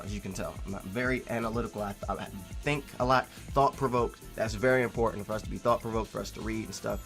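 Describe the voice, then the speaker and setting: A man with a mid-pitched voice talks through his opinions as a video host, with music behind him. He is casual but emphatic, restating phrases for weight. His delivery moves between insistent, louder stretches and quieter asides. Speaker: video host replying to a viewer comment. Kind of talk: casual opinionated commentary to camera.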